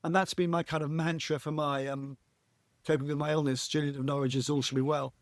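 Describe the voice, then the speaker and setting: A man with a husky voice, a podcast guest talking reflectively and earnestly, often restarting his phrases. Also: a little high, flat delivery, fairly quick, dry recording, clean studio sound. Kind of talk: reflective conversational interview.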